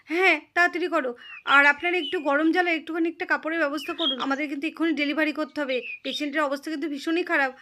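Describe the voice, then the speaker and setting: A woman playing a grave, brisk lady doctor in a village puppet drama, urgently repeating instructions. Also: high-pitched, steady tone, background noise, lightly acted.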